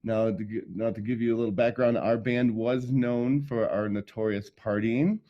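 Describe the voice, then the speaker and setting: A middle-aged man from Michigan, telling his life story as an interview guest in a relaxed way, with many ums and laughs. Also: medium pitch, normal speed, dull audio. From Michigan, USA. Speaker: guest, sound healer.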